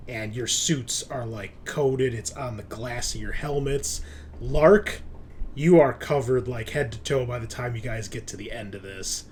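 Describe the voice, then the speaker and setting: A man's voice: mid-range voice, a little slow, a little mellow, a little hiss or hum. A man acting as game master, narrating a tabletop roleplaying game in a casual, descriptive way and explaining rules.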